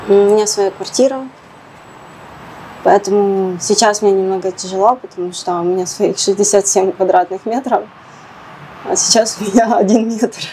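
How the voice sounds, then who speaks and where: A young woman from Ukraine in her late twenties, giving a documentary interview in a small kitchen on a lapel mic, speaking softly with frequent hesitations. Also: medium pitch, steady tone, normal speed, a little hiss or hum, low-quality recording. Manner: soft, hesitant with frequent fillers.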